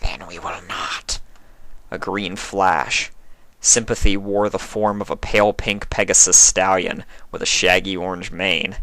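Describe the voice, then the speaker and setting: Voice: low voice, flat delivery, a little slow, bright, forceful tone, dry recording. A narrator reading a scripted fan fiction story, giving the characters their own voices.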